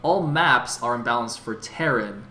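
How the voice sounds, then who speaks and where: A man's voice: mid-range voice, expressive, unhurried, bright, forceful tone. A man giving analytical, easygoing play-by-play commentary on an esports match, with the odd joke. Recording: echoey room with music.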